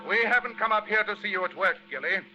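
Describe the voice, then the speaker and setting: An actor playing a shrewd, commanding English gentleman detective, crisp and theatrical, with a British accent in an old radio mystery. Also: sits high, fast talker, lightly acted. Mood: stern, accusing. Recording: muffled old radio broadcast, music underneath.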